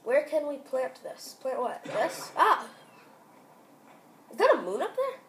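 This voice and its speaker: A woman giving excitable let's-play commentary on a video game while sick and losing her voice. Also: high voice, unhurried, slight background noise. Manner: excitable, exclaims often. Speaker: gameplay commentator.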